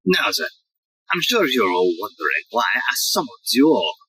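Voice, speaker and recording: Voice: mid-range voice, wildly swooping pitch, normal speed, noisy background. An actor playing a pompous, absent-minded, muddled police inspector in a farcical audio play. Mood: officious.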